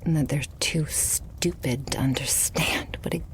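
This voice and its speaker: A woman playing a devoted, possessive and murderous vampire girlfriend in an intimate ASMR roleplay, slow and breathy, drifting into whispers close to the microphone. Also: low voice. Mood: adoring.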